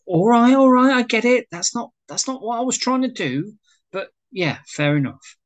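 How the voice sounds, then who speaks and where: A man's voice: on the high side, very animated, moderate pace, a bit bright, husky, exaggerated voice. A man playing a hopeful, sheepish suitor in acted drama dialogue, hesitant and wheedling. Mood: flustered protest. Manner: hesitant, wheedling, easily flustered. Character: hopeful, sheepish suitor pleading with his lover. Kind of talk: amateur script read-through, acted dialogue.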